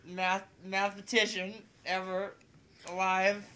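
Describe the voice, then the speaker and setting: A man's voice: high-pitched, monotone, slow, sharp tone. A man chatting casually on a podcast in a rambling way, peppering his sentences with "like". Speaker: podcast host. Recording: clearly noisy home recording.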